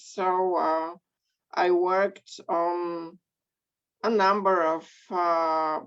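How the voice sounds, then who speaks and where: Slow-talking woman. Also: on the low side. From Bulgaria. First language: Bulgarian. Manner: measured, frequent ums and pauses. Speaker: guest speaker. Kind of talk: online interview, career story. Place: home or office in Belgium. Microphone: video call. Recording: dull lo-fi call audio.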